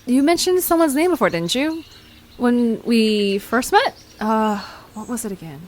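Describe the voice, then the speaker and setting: A woman's voice: a little high, expressive, unhurried. A woman playing an eager, inquisitive young adventurer in an audio drama. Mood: probing. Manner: eager, questioning. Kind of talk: scripted audio drama acting.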